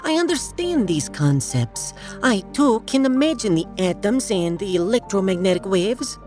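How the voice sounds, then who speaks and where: A man's voice: sits high, big pitch swings, moderate pace, a little mellow, faint music behind. A man voicing a practical, teasing female mathematician with a Russian accent and chiding manner in a sci-fi audio drama. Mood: firm, proud. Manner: chiding, no-nonsense.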